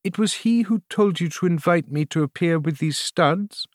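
A man voicing a frightened, proud queen in a high, thin voice, with formal, courtly and faltering speech for a storybook. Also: moderate pace, no echo, studio quality, natural voice. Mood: faltering, fearful.

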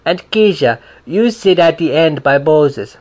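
A man's voice: fairly high pitch, lively intonation, unhurried, darkish tone, voice pushed up. A man voicing a fat, wheezing, motherly older woman who soothes the children. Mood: soothing.

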